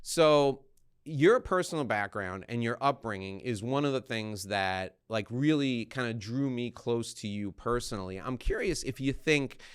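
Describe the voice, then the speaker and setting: A man's voice: mid-range voice, expressive, unhurried, clear, resonant tone. A man hosting an interview podcast, telling anecdotes in a chatty, informal way. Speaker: podcast host.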